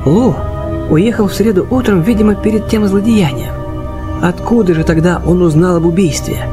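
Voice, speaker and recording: A man's voice: sits high, moderate pace, natural voice. A man acting a politely eager, theatrical character in a Russian radio detective play. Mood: sly, thoughtful. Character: high-voiced, politely eager male character of a detective tale. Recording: radio play with music underneath.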